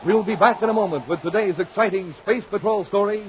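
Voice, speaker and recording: A man announcing and narrating in emphatic, deliberate 1950s radio style, scripted and dramatic. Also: high voice. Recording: dull 1950s radio recording, faint noise.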